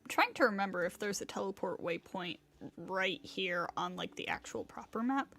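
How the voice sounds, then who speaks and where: A woman's voice: mid-range voice, animated, moderate pace, a bit bright. A woman giving casual live commentary as she streams a video game.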